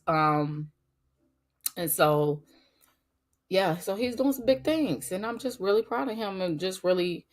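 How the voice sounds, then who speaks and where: A middle-aged woman with a voice gone raspy from a cold, hosting a faith-based podcast in an earnest, emphatic way and talking straight to her listeners. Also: sits low. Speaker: podcast host.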